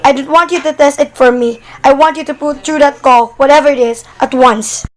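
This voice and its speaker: Woman acting a high-pitched, fretful invalid wife. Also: fairly even intonation, brisk, natural voice. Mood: exasperated, indignant. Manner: exasperated, complaining, dramatic. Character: nervous, demanding bedridden invalid wife, irritable and frantic. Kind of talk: amateur radio play acting.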